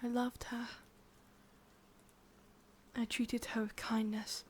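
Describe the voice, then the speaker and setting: Woman playing a female witch, English accent, breathy whisper, slow pace, subdued flat pitch, sorrowful, obsessive, tender yet menacing, ASMR roleplay. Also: a little hiss or hum. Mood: grieving. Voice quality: soft, breathy, mostly whispered. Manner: slow, halting, confiding.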